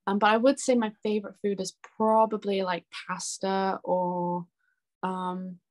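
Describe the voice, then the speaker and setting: Young female, informal interview guest, chatty and giggly delivery, mid-range pitch. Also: level tone, unhurried, a little mellow. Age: young adult. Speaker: interview guest, singer-songwriter. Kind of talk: casual chat.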